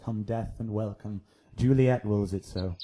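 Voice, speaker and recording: An actor playing a tender, sorrowful young husband in a Shakespearean radio drama, soft and solemn. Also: male voice, lowish voice, unhurried, noisy background, natural voice. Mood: resigned, tender.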